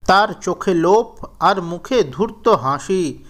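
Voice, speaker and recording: A man with a fairly high voice tells a children's story. He reads from a script at a slow, deliberate storybook pace. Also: lively intonation, fairly bright tone.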